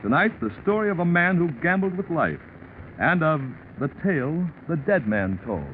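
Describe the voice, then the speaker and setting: Male vintage radio announcer, polished sales delivery, lively swooping pitch, tinny old recording. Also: moderate pace. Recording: tinny 1940s radio recording, faint noise.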